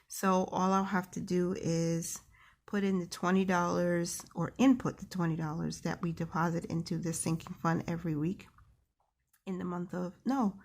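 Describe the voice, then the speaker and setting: A woman's voice: fairly low pitch, vocal fry. A woman with a New York accent, chatting to viewers in an upbeat way as she goes through her savings planner at her desk. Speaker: personal finance vlogger. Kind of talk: casual walkthrough of a savings planner. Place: desk at home, hands writing in a planner.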